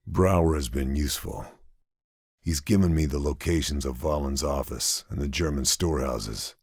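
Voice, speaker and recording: A man voicing a calm, methodical wartime sniper in a video game cutscene, speaking in low, clipped, measured tones. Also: husky, no room sound, studio quality, heavily acted. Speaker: sniper protagonist.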